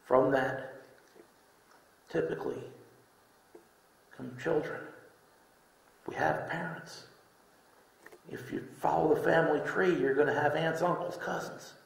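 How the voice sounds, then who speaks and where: Middle-aged preacher in an echoey room. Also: male voice, fairly high pitch, fairly even intonation, vocal fry. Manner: deliberate, with pauses between short phrases. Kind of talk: sermon with scripture readings. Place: indoor room, speaking from a lectern.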